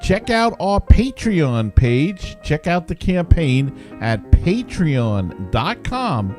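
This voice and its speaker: A man's voice: a little high, sing-song, slow, a little mellow, faint music behind. Casual male sports podcast host.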